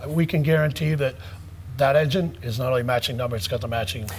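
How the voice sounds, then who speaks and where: A man's voice: medium pitch, fast talker, slight background noise. A middle-aged man with a chatty, repetitive way of talking, hosting a casual on-camera conversation in a workshop. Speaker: vlog host, car enthusiast. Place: indoor auto rebuilding workshop.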